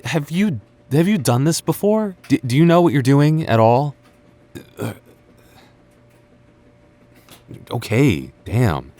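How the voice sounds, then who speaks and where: A man's voice: medium pitch, sing-song, normal speed, mellow, lightly acted. A young man acting as a sarcastic, teasing and stubborn childhood friend in a naturalistic boyfriend audio roleplay. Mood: exasperated. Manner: teasing, sarcastic, stammers when flustered. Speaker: voice actor.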